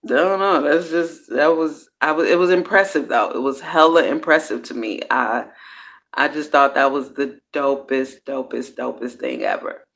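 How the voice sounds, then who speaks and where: A woman's voice: rumbling low, lively intonation, moderate pace, creaky, fairly bright tone. A woman hosting her own personal growth series, talking casually and candidly. She uses slang, swears freely, and often trails off and restarts her sentences. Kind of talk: casual reflective monologue.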